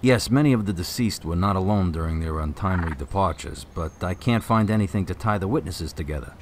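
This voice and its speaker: Actor as a low-voiced, methodical policeman. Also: brisk, background noise, natural voice. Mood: doubtful. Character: plain-spoken policeman, uneasy but methodical, acting on a hunch. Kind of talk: audio drama dialogue.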